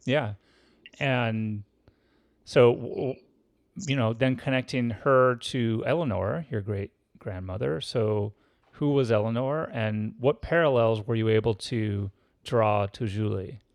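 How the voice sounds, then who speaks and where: A man hosting a podcast interview, asking questions in an easygoing, somewhat halting way. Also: medium pitch, no echo. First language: not French. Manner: easygoing, halting, friendly prompts. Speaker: podcast host. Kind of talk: interviewing a guest.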